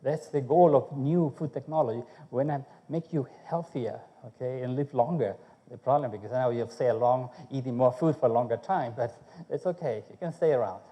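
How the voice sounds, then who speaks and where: A man from Chile, speaking English, gives a conference talk on food research. His voice is very dark and mellow, and he keeps checking in with the audience by asking "okay?". The sound carries a long reverb from the hall. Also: mid-range voice, normal speed, muffled recording. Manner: conversational, checks in with frequent "okay?", restarts.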